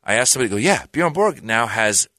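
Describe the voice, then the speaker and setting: A man from Iran, a comedian guest, telling a rambling, animated story in casual chat, with restarts and acted-out quotes. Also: mid-range voice, quick pace, dry recording, studio quality.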